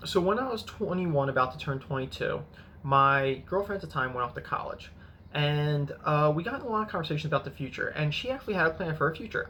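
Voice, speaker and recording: A man in his mid-thirties talks through his past in an easy, meandering vlog style, stopping now and then to correct himself. His voice is bright and forward, with a faint hum of room ambience behind him. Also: fairly high pitch, low-quality recording. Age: 30s. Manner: rambling, self-correcting storytelling. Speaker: finance vlogger. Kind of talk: casual personal vlog.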